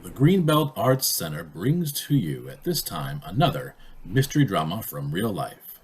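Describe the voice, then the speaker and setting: A man reads as an old-time radio drama announcer. His voice is fairly low and husky, with formal, theatrical phrasing. The pitch rises and falls dramatically.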